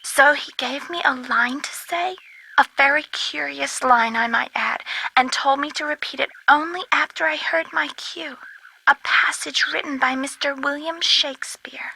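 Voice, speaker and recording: A woman's voice: high-pitched, normal speed, vocal fry, pushed and bright, exaggerated voice, rough recording. A woman voicing a kindly, quaint elderly lady in a video game, genteel, wordy and fluttery. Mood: chatty, wistful. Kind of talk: video game character dialogue.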